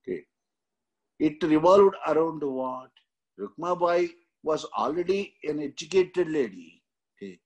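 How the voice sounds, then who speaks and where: An older man with an Indian accent lecturing on exam questions in a halting, repetitive way with frequent tags. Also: fairly high pitch, expressive, rough recording. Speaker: exam coaching teacher. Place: indoor room, seated.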